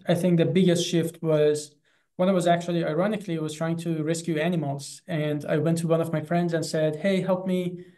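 Higher-pitched Iranian-accented man, even and mellow. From Iran. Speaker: animal rights activist and guest. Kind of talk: personal account in an online interview. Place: small classroom in front of a chalkboard. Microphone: video call, close to computer.

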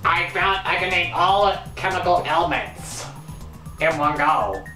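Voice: mid-range voice, unhurried, sharp tone, echoey room, far from the mic, faint music behind, voice pushed up. Someone doing an eager, boastful boyish science nerd. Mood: excited. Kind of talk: amateur fan-fiction story reading.

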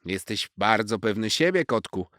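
A man's voice: lowish voice, animated, moderate pace, fairly bright tone, no echo, clean studio sound, pitched above the performer's own voice. A man voicing a small know-it-all female squirrel from a children's story, teasing and scolding with lots of emphasis. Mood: mocking, warning.

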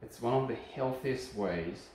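A man's voice: mid-range voice, unhurried, darkish tone. Ukrainian-accented man in echoey kitchen. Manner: friendly, explanatory, many fillers and hesitations. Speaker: channel host reviewing a kitchen appliance. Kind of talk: casual unscripted product review. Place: home kitchen, indoors. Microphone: phone camera at a distance. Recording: echoey kitchen with faint hum.

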